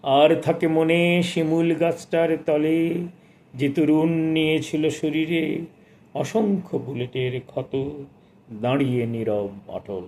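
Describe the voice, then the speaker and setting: A man in his sixties presenting a book of poetry, speaking and reciting in a measured, expressive way with formal literary diction. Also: a little high, a little hiss or hum. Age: 60s.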